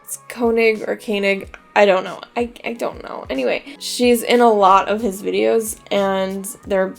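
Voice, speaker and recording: Chatty female video host, gravelly fry, self-deprecating, soft music under her voice. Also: medium pitch, steady tone, measured pace. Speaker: YouTube host. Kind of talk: commentary video narration.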